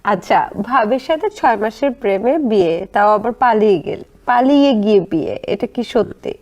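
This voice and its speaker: A woman with a Bangladeshi accent and a fairly high voice conducts an interview. She sounds inquisitive and informal, putting her questions conversationally. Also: expressive, moderate pace, darkish tone, highs cut off.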